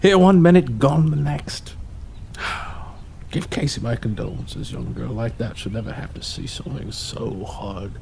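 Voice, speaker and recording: A man's voice: medium pitch, measured pace, vocal fry, darkish tone, slight background noise. An actor playing a folksy, greedy foreman who is an old friend of the doctor. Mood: somber. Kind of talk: audio drama acting.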